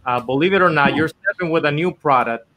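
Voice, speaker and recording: A man's voice: on the high side, brisk, sharp tone, low-quality recording. A man in his thirties from the Dominican Republic, telling his story earnestly with lots of "you know" as a guest on a video call from his home office. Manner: earnest storytelling with many fillers. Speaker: guest inventor. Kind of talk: casual video-call interview. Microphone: video call webcam.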